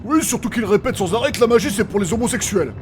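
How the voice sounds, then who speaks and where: Man playing a fast, high-voiced sarcastic slacker. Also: expressive, soft background music. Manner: sarcastic, blunt, crude, wisecracking. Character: cheeky, crude, sarcastic male slacker adventurer. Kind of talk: French fantasy comedy audio fiction acting.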